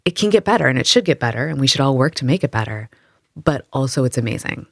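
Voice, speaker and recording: A woman who runs history tours in the city, answering interview questions in an animated way, thinking aloud with many fillers in the local language. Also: very deep voice, fast, vocal fry, no echo, studio quality. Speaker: tour guide and storyteller. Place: Amsterdam.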